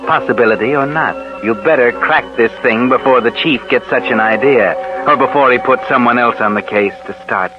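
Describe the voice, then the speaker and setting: A man playing a sinister narrator in a 1940s radio thriller. He speaks in a mid-pitched voice, taunting and insinuating as if he were someone's conscience. The recording is dull and old, with loud music behind him. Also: moderate pace, hardly any pauses, natural voice. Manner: insinuating, taunting second-person address.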